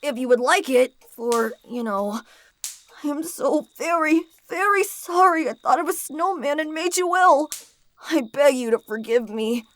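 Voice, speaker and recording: A woman's voice: sits high, animated, measured pace, a bit bright. A woman playing an eager, kind-hearted young rascal of a boy in an audio drama, earnest and wide-eyed. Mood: eager, apologetic.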